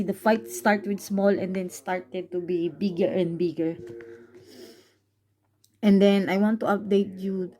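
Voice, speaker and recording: Slow, halting Filipino woman vlogging. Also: medium pitch, a little mellow. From Philippines. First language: Tagalog. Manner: halting, searching for words with many fillers. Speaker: caregiver and vlogger. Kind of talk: casual vlog explanation.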